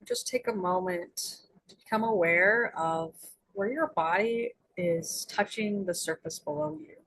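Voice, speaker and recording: A woman leading a guided meditation as its guide, speaking in gentle, soothing invitations with long pauses. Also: mid-range voice, very animated, slight background noise, poor audio.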